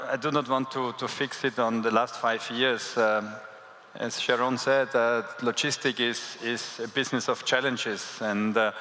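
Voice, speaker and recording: Hesitant man, flat bright voice, echoey hall. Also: medium pitch. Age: middle-aged. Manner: hesitant, many uh fillers, measured. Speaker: panelist, company director. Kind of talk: panel answer. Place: large conference hall.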